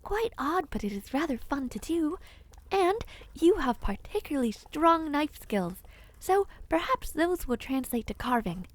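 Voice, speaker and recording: A woman playing a kind, dreamy witch in an audio roleplay, soothing and gently formal, easily sidetracked. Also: sits high, sing-song, a little slow. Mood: chatty, fond. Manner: gentle, soothing, old-fashioned formal phrasing, easily distracted. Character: kind, dreamy peculiar witch, otherworldly and caring. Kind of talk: audio roleplay addressed to the listener.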